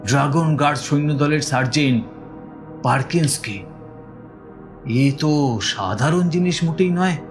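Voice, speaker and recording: A man playing a village schoolteacher who recounts his own eerie experience in measured, hesitant audio-story narration. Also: fairly high pitch. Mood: intrigued. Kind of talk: dramatised first-person audio story narration. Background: music.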